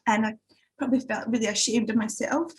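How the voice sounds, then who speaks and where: A woman's voice: on the high side, steady tone, quick pace, a little hiss or hum. Hesitant woman, thirties. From Italy. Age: 30s. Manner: hesitant, frequent fillers and restarts. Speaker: summit speaker. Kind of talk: personal testimony talk.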